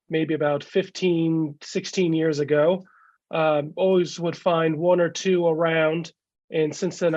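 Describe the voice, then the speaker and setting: A man with a cold talks through a slideshow over a video call, his voice gravelly and a touch higher than most. He halts often on fillers. The sound is dull and dry. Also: darkish tone, no room sound. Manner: halting, many "uh" fillers. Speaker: guest speaker, collector. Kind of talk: casual slideshow talk.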